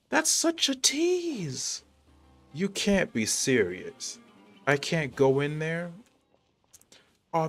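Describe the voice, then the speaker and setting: A man giving casual, slangy livestream commentary on a game, stammering and repeating himself in disbelief. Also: sits high.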